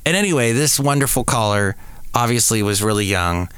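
A man's voice: mid-range voice, expressive, a little fast, a bit bright, clean tone, no echo. An American man hosting a podcast in a casual, rambling, playfully self-mocking way. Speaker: podcast host. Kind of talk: casual rambling solo talk.

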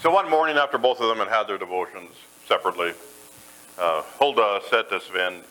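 A man's voice: lowish voice, a little fast, bright, forceful tone, poor audio. A man preaching a sermon in a church, folksy and self-deprecating as he mixes jokes with scripture. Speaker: pastor.

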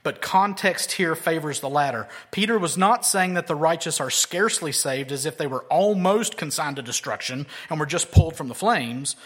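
A man preaching an expository sermon, moving between loud, emphatic lines and quiet, low asides. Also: animated, normal speed, hardly any pauses. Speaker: pastor.

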